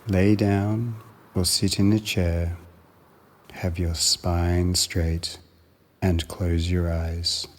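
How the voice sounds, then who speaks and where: Deep-voiced, very slow meditation guide, a man. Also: level tone. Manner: measured, soothing, long pauses between short phrases.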